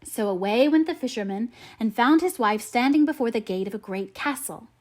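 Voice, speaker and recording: A woman narrating a storybook aloud in an expressive, measured manner. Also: on the high side. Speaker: audiobook narrator.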